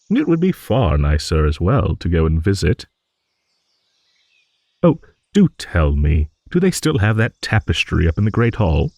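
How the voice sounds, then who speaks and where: A man's voice: low-pitched, darkish tone, no echo, heavily acted. A man playing a genial, nostalgic old dragon in an improvised fantasy monologue, musing and rambling with hums and hesitant asides.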